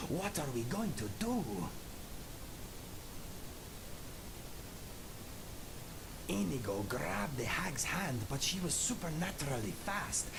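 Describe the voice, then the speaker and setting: A man's voice: fairly high pitch, creaky, background noise, exaggerated voice. A man voicing a proud, affable cat-man adventurer in a fantasy game, telling stories theatrically and formally with whispery suspense. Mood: grim, dramatic. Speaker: companion character in a fantasy game. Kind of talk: theatrical storytelling in the third person.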